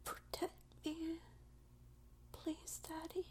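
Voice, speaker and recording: A woman playing a clingy, submissive, tearful lover in an intimate audio roleplay, speaking in halting, repeated phrases with a breathy, creaky whisper. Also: very high-pitched, little pitch movement, a little mellow, exaggerated voice. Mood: tender, clinging.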